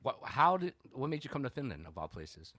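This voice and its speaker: Animated teasing man. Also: medium pitch, a little fast. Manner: animated, teasing, swears freely. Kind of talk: casual podcast chat.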